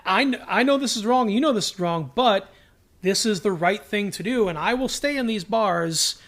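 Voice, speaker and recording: A man's voice: high voice, expressive, normal speed, a bit bright. A man co-hosting a podcast in casual fan discussion, acting out bits of dialogue as he explains. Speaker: podcast co-host.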